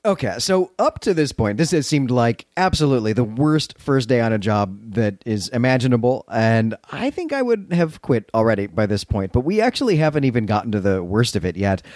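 A man's voice: medium pitch, sing-song, fairly quick, few pauses, darkish tone, no echo, studio quality. A man co-hosting a podcast, recapping and commenting in a conversational, explanatory way with rhetorical check-ins. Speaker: podcast co-host.

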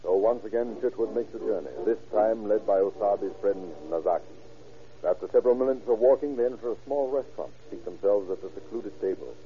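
A man narrating a vintage radio drama in a measured, dramatic storytelling style. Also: fairly low pitch, faint music behind. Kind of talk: 1940s radio scripted narration. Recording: muffled old radio recording.

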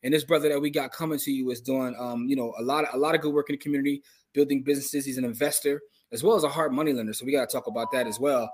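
Fast-talking upbeat podcast host, a man. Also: medium pitch, fairly even intonation, a little mellow, breathy edge. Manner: fast, upbeat, slangy banter. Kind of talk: casual podcast interview.

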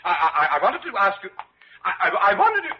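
An actor playing a fussy, easily offended hospital doctor who stammers and flusters in old radio drama style. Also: male voice, sits high, huge pitch swings, moderate pace, full character voice. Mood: fussy, stammering. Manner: fluttery, stammering, prissy. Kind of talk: 1940s-50s radio drama acting. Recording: muffled old radio recording, faint noise.

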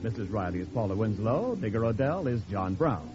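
Animated old-time male radio announcer. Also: fairly quick, over music. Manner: polished sales pitch, carefully enunciated. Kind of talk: 1940s radio announcing and advertising. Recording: dull vintage radio recording.

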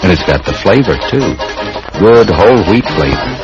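Deep-voiced American radio ad man. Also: very animated, normal speed. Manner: punchy, emphatic, repetitive selling. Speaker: commercial announcer. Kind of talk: 1940s radio advertising pitch. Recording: muffled vintage radio, music behind.

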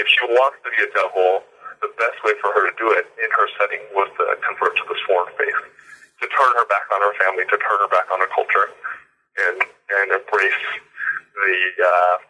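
A man's voice: lowish voice, steady tone, brisk, bright, forceful tone, slightly rough, rough recording. A man, an author being interviewed, explaining in a thoughtful way with frequent fillers and repeated words. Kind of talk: conversational interview.

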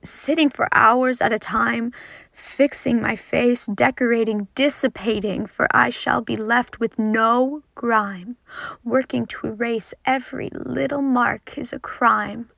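A woman's voice: on the high side, steady tone, takes it slowly, gravelly, a bit bright, no echo. A fourteen-year-old girl poet introducing and reciting her own poetry in an earnest, dramatic manner. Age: teenager, fourteen.

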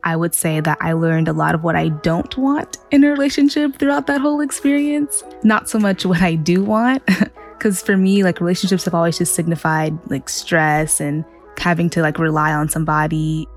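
A woman's voice: on the low side, normal speed, faint music behind. Giggly young woman in her twenties. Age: 20s. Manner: relaxed, giggly, with many fillers. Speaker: contestant. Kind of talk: casual chat.

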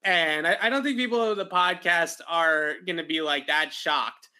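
A man's voice: fairly high pitch, normal speed, sharp tone. A young man hosting a podcast, rambling in casual chat with a nasal voice and many fillers. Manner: rambling, filler-heavy, repeats words. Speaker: podcast host.

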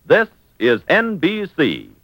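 A man's voice: a little high, huge pitch swings, slow, fairly bright tone, no room sound. An American man announcing in scripted 1940s radio style.

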